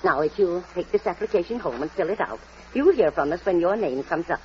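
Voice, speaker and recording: A woman playing a composed, kindly but firm orphanage matron in a 1940s American radio drama, measured and polite. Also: lowish voice, lively intonation, close to an everyday voice. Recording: muffled old radio broadcast recording.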